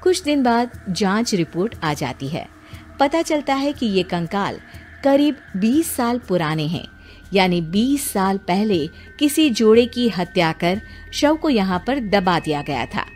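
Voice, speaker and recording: Animated female story narrator with lively pitch. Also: unhurried, soft background music. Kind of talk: scripted moral story narration.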